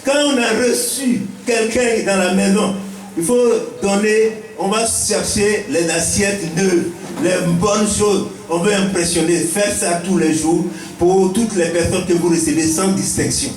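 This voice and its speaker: A man's voice: high-pitched, steady tone, a little slow, faint music behind. A man with an Ivorian accent giving a preaching-style welcome speech as a village chief, teaching point by point and repeating key phrases. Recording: echoey, picked up from a distance.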